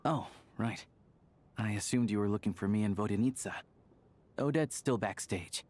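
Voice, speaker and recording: An actor playing an easygoing, dependable young theater worker in a video game, speaking in a plain, informal, steady way. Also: male voice, fairly low pitch, moderate pace, lightly acted. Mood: friendly surprise.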